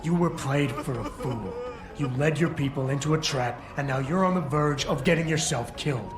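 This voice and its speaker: An actor playing a cool, hard-edged security agent in a video game, terse, dry and controlled. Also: male voice, on the high side, normal speed, close to an everyday voice. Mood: stern, scornful. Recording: echoey game audio under loud music.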